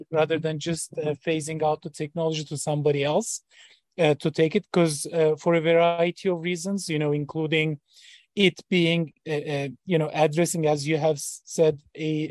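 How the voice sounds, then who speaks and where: A man in his forties, from Turkey, speaks as a podcast guest in a fairly high voice. He hesitates often and fills the gaps with many ums and uhs. The sound is close and dry. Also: a little mellow, no room sound. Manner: hesitant, many fillers and restarts. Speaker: startup founder and professor. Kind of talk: interview guest.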